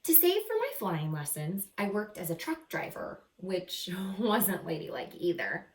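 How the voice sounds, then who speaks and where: Female teacher, expressive story-time read-aloud, animated intonation, chatty asides to kids, echoey room. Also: fairly low pitch, unhurried. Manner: animated, acts out characters, stretches key words. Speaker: second-grade teacher reading aloud. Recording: roomy echo, some distance from mic.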